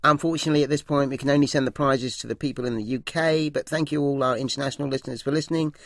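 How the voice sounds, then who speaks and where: A man hosting a comedy podcast, talking in a chatty and slightly halting way. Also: mid-range voice, fairly even intonation, fairly bright tone, no room sound, studio quality. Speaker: host.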